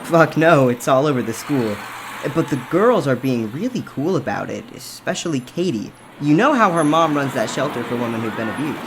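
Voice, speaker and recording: A man's voice: medium pitch, very animated, normal speed, noisy background. A man playing a blunt, teasing teenage best friend in a comic dub, talking in slang. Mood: awkward, gossipy.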